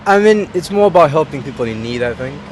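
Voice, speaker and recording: A man's voice: on the high side, animated, quick pace. A young man from Romania, a university student answering street interview questions in a hesitant, earnest way. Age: young adult. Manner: hesitant and earnest, with many "I mean" fillers. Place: outdoors on a street. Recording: outdoor street recording with traffic.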